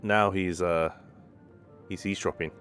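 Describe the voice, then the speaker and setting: Bright-voiced man doing game commentary. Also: deep voice, fairly even intonation, a little slow, clean tone, soft background music. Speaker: gaming streamer. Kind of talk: casual let's-play commentary.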